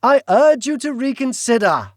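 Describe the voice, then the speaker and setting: A gloating British duke villain. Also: male voice, very high voice, big pitch swings, no echo, studio quality, pitched above the performer's own voice, heavily acted. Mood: menacing. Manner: theatrical, slow, gloating. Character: suave menacing male duke, grandiose villain.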